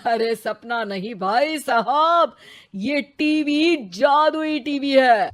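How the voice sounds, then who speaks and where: A woman's voice: high voice, very slow. A woman voicing a chatty male magic television newsreader that teases the family in a cartoon. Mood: cheeky. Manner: cheeky, teasing, talkative. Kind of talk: Hindi cartoon voice acting.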